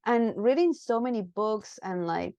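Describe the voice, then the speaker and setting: A woman from Peru, chatting as an interview guest, lively and full of fillers and restarts. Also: mid-range voice, a little slow, no room sound. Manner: animated, many fillers and restarts. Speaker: guest filmmaker. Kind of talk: casual interview chat.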